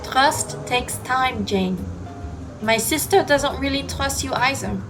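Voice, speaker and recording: A woman voicing a calm, sensible teenage girl with a measured manner, in an audio drama. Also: high voice, over music.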